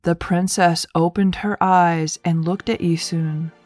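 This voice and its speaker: Slow, low-voiced woman storyteller. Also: fairly even intonation, a little mellow, dry recording, clean studio sound, faint music behind. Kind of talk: read-aloud children's folktale narration.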